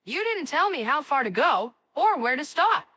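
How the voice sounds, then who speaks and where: A woman voicing a spirited, cocky and excitable cartoon kid with an exclamatory, dramatic delivery. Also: high voice, normal speed, no room sound, full character voice. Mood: argumentative. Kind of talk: animated series voice acting.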